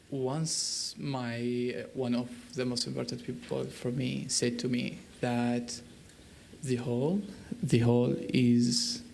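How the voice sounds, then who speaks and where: A man's voice: mid-range voice, gravelly, a little mellow, slight background noise. A young man from Syria with a Syrian Arabic accent, speaking earnestly and searching for words as he puts a question in a dialogue. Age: young adult. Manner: halting, searching for words in non-native English. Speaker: youth delegate asking a question. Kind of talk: earnest prepared remarks in a dialogue.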